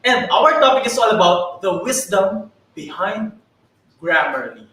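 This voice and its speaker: A Filipino man co-hosts a teacher training webinar, greeting viewers politely in a mix of English and Tagalog. His voice is unusually high for a man. It sounds distant and echoey. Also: lively intonation, unhurried, fairly bright tone. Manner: friendly, polite, code-switches between English and Tagalog. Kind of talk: bilingual webinar hosting, greetings.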